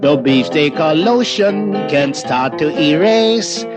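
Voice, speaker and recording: An easygoing calypso man from a 1950s radio drama. His high voice moves slowly and musically, with guitar strong behind it. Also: animated. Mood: singing narrative. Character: easygoing Caribbean calypso-singing male sidekick. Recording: muffled old radio recording.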